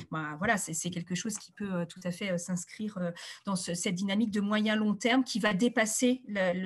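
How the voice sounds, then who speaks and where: A woman moderating an online meeting in a conversational, loosely phrased way. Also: mid-range voice.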